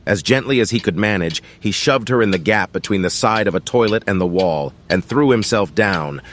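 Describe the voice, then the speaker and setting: A man's voice: lowish voice, expressive, normal speed, slight background noise. A man reading scripted fiction as an audiobook narrator.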